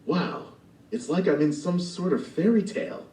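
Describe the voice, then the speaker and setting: A man's voice: a little high, lively intonation, moderate pace, mellow, distant mic, pitched above the performer's own voice, natural voice. A man voicing a cheerful, wide-eyed young man in a storybook play, recorded in a roomy space away from the mic. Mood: eager. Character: eager naive young man hero.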